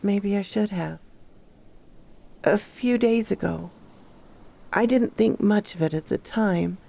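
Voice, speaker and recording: A woman playing a troubled female park ranger who recounts her horror story in a measured, confiding first-person narration. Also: medium pitch, gravelly, mellow. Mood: unsettled, reflective.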